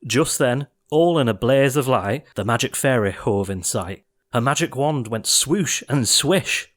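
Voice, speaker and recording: A man narrating an audiobook of rhyming verse in a theatrical, expressive storytelling style. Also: mid-range voice, unhurried.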